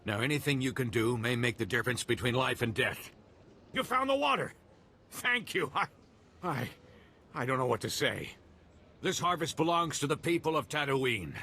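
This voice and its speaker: An actor playing an earnest, worried desert moisture farmer in a video game. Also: male voice, medium pitch, moderate pace, sharp tone, slight background noise. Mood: overjoyed gratitude. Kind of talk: video game dialogue acting.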